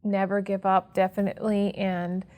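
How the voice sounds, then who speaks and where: A woman in her thirties answers interview questions in short, hesitant bursts. She pauses and restarts often. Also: medium pitch, little pitch movement, warm tone. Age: 30s. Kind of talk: personal testimony.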